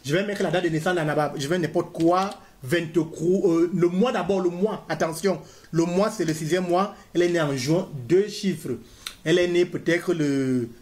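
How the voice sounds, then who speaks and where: A man's voice: fairly high pitch, a little mellow. A man giving a step-by-step tutorial, repeating key points as he fills in an online form. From Cameroon. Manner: talks viewers step by step through a form, repeating himself. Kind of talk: screen-recorded walkthrough.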